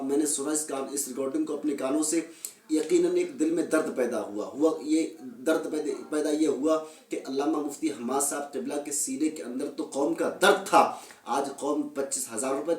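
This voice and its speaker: A man's voice: fairly high pitch, darkish tone. A man giving informal religious commentary and admonishment, earnest and repeating his phrases for emphasis. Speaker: Islamic religious commentator addressing viewers. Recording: echoey room, distant microphone.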